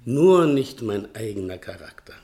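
An actor playing a fat, self-pitying, philosophizing servant in a German radio play, theatrical and brooding, moving between confiding murmurs and outbursts. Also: male voice, fairly low pitch, big pitch swings, measured pace, creaky, dark tone, full character voice. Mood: anguished, grandiose.

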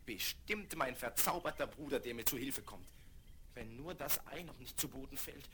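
An actor playing a brave, earnest young prince in a children's fairy-tale audio play, with declamatory delivery. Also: male voice, medium pitch, lively intonation, moderate pace, lightly acted. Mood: excited. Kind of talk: 1970s German children's audio play acting. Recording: very noisy vinyl record with clicks.

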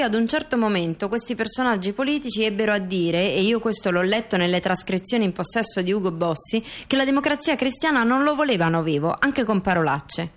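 A woman acting as a sober intellectual, reciting testimony and letters in a formal, deliberate documentary theatre style. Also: mid-range voice, natural voice. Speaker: stage actress reading testimony and letters.

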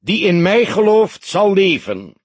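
Booming, high-pitched pompous funeral preacher. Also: male voice, big pitch swings, slightly rough, no echo, full character voice. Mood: solemn, exalted. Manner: declamatory, solemn, drawn-out, loudly raised voice.

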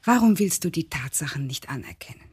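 A woman's voice: low-pitched, animated, brisk, darkish tone, husky, exaggerated voice. An actress playing a sceptical, mocking wife who challenges her husband in a radio drama. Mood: needling. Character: sceptical, needling wife of a police chief.

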